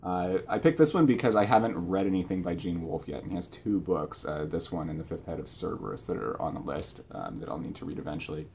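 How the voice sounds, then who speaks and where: A man talking casually about books as a channel co-host, in a hesitant, self-correcting way with a husky voice. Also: low-pitched, expressive, fast talker, few pauses, a little mellow, a little hiss or hum. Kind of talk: casual book chat.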